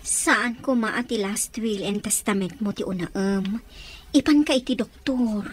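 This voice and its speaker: A woman with a Filipino accent playing a brisk, concerned lady of the house in a radio drama. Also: mid-range voice, a little hiss or hum, close to an everyday voice. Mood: concerned, impatient. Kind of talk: Ilocano radio drama acting.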